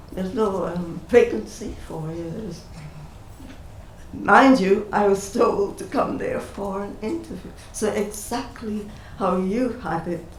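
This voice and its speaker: An older woman from Guyana, sharing personal memories in an unhurried, conversational way and chuckling between remarks. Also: medium pitch, a little hiss or hum. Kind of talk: conversational storytelling. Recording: roomy hall, mic at a distance.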